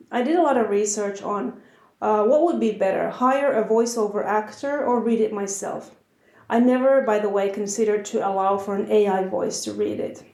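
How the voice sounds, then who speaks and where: A woman's voice: a little high, a little mellow. A woman with a Finnish accent hosting a podcast, introducing the episode and chatting with a guest in her home. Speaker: podcast host. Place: her house. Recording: roomy echo.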